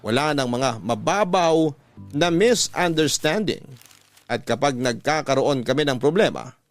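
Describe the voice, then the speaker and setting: A man's voice: on the high side, animated, measured pace, soft background music. A man narrating as an earnest letter writer recounting his love life, in scripted, sentimental radio storytelling style. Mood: sentimental, reflective.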